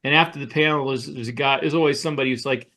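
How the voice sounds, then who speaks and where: A man co-hosting a podcast, telling anecdotes in casual chat with a halting, rambling delivery. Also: medium pitch, steady tone, pushed and bright. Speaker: podcast co-host, author.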